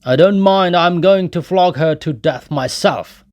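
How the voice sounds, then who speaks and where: A man voicing a furious, brutal peasant who shouts defiantly and domineeringly in a dramatic audiobook reading. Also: fairly high pitch, a little slow, voice pushed up, close to an everyday voice. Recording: noisy home recording.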